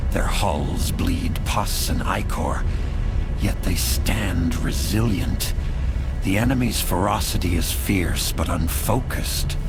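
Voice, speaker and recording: Casting: male actor as rotting military commander, deep gravelly rasp, slow loyal menace, loud music underneath. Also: full character voice. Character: decayed plague-fleet officer, slow, menacing, loyal. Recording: very loud music bed behind.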